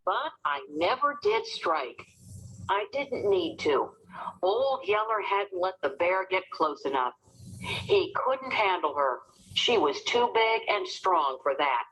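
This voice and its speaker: A woman narrating a storybook as an expressive, dramatic read-aloud for children. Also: mid-range voice, slow, rough recording.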